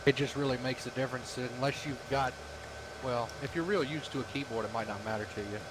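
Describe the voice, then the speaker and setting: A man's voice: mid-range voice, quick pace. A man chatting casually with fellow gamers over voice chat. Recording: noisy voice chat, clear hiss.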